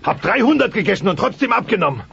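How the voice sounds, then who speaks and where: A big-hearted, blustering dinosaur dad with a fairly high, gravelly voice. He is theatrical and pompous, a sitcom patriarch who is easily indignant and deeply sentimental. The sound is dull, like a cassette, with music. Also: sing-song, quick pace, background noise, full character voice. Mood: aggrieved. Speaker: voice actor. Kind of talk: German dubbed family sitcom audio play.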